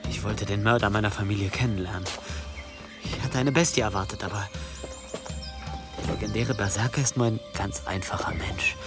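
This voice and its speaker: A man's voice: lowish voice, brisk, pauses often, over music, natural voice. An actor in a radio play voicing a bitter young medieval man bent on revenge, intense and defiant. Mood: grim, quiet.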